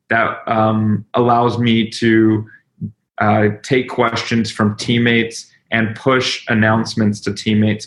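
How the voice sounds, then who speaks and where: Man with creaky voice, informal demo host. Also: lowish voice, fairly bright tone, low-quality recording. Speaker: webinar host and company leader. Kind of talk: informal live software demonstration.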